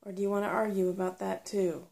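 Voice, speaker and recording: A woman's voice: mid-range voice, little pitch movement, fast, creaky, dark tone, lightly acted. Crabby, sarcastic little female brownie, voiced by a woman. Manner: snappish, bossy, sarcastic. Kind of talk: storybook character reading.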